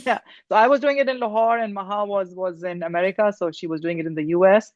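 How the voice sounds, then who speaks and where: A woman's voice: medium pitch, fairly even intonation, fast talker. An older woman from Pakistan, a guest on a video call, talking casually with frequent fillers and light laughter. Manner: warm, laughs often, many fillers. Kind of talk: casual interview. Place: home room. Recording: dull lo-fi video call audio.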